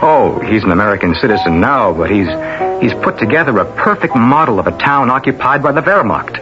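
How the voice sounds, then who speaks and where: Wry cynical newsman played by a man, animated intonation. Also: mid-range voice, brisk, natural voice. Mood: intent, suspicious. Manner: conversational, hesitant, sardonic. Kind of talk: 1970s radio drama acting. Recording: slightly muffled radio, music behind.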